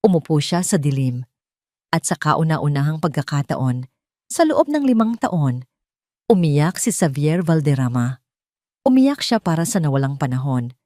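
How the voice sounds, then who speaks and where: Female Filipino narrator for dramatic audio fiction, lower register, close dry recording. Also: warm tone, no echo.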